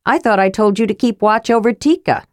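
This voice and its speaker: A woman's voice: mid-range voice, brisk, a little mellow, no room sound, studio quality, pitched above the performer's own voice, natural voice. A woman voicing a troubled, stern half-elven male leader in a fantasy audiobook, serious and questioning.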